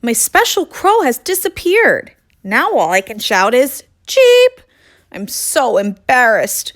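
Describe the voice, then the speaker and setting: A woman's voice: a little high, wildly swooping pitch, slow pace, pitched above the performer's own voice, full character voice. A woman voicing an embarrassed, plaintive male rooster in a children's storybook. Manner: plaintive, exclamatory. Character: embarrassed male rooster who lost his crow.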